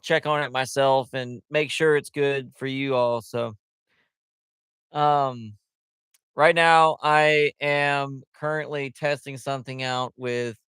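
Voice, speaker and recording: Smooth-voiced man chatting on a livestream. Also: mid-range voice, fairly even intonation, takes it slowly, fairly bright tone, no echo, studio quality. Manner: rambling, loose and chatty, many fillers. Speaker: livestream host. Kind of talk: casual livestream chat. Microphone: headset.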